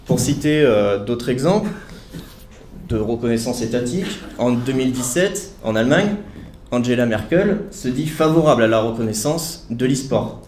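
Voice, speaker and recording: A man's voice: mid-range voice, moderate pace, a little mellow, slight background noise. A young man giving an academic talk at a study day, earnest, with many fillers and self-corrections. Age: young adult. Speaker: student speaker at a study day. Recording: echoey room.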